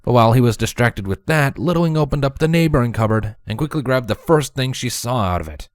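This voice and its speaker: Lively male storybook narrator. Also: mid-range voice, quick pace, no room sound. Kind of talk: expressive scripted story narration. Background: music.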